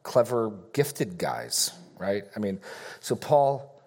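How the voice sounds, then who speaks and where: A man's voice: on the low side, big pitch swings, slow pace, a little mellow. A man leading a Bible study group, teaching casually and taking questions, rambling a little, correcting himself and joking in asides.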